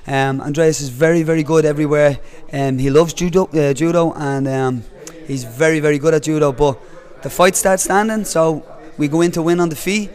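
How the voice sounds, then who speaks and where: A male coach speaks calmly after a fight, pausing with fillers as he gathers his thoughts. His voice sits a little high for a man. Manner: calm, hesitant, many fillers. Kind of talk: post-fight interview.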